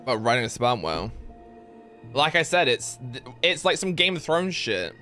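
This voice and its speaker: Bright-voiced man streaming a game. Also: medium pitch, moderate pace, faint music behind. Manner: rambling, filler-heavy, reads chat aloud, yelps with excitement. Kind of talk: casual live-stream gameplay chat.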